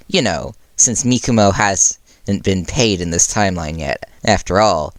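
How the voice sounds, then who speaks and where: A man narrates a piece of fan fiction he wrote. His voice sits fairly low, and the sound is dry, with very little room to it. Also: no echo. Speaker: fan fiction author reading own story. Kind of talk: narrated storytelling with character voices.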